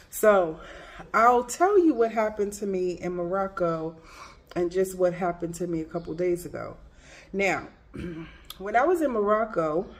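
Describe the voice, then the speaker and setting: A woman with a congested voice from a cold talks to her camera in a relaxed, unhurried way. She tells her story with a chatty, slangy and exasperated tone. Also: mid-range voice. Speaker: travel vlogger. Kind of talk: casual storytelling vlog.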